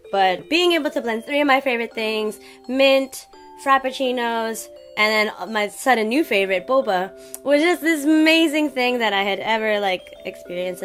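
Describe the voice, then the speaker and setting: A woman hosting a read-aloud show, speaking in an expressive, theatrical storyteller's manner. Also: on the high side. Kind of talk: expressive storybook reading with chatty introduction.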